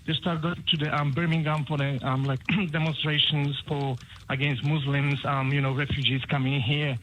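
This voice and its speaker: A man's voice: fairly high pitch, flat delivery, moderate pace, a bit bright, a little hiss or hum, rough recording. A man from Poland with a Polish accent, calling a radio phone-in, nervous and hesitant with many ums. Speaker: caller. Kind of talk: phone-in call.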